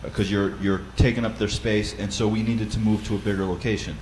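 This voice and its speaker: A man with a loud voice who leads a Bible study, explaining practical advice in a conversational way with frequent fillers and restarts. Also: fairly low pitch, flat delivery, brisk, slight background noise, poor audio. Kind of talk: instructional talk.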